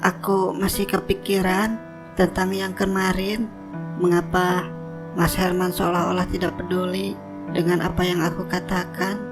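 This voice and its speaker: A woman playing a devoted, worried young wife in a cartoon drama, speaking in a measured, plaintive, earnest way. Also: fairly low pitch, lightly acted. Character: devoted young wife, worried, doubting her husband's love. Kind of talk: cartoon drama inner monologue.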